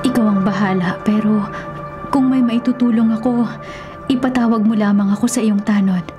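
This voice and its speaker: A woman playing a governor's gentle, concerned Filipino wife in a radio drama, soft and solicitous. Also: on the high side, steady tone, normal speed, music in the background, close to an everyday voice. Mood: tender, concerned.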